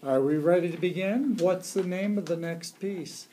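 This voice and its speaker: A woman's voice: lowish voice, normal speed, warm tone, slight background noise. A woman speaking as a teacher, giving directions and encouragement to a group of performers. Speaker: teacher directing a readers theater.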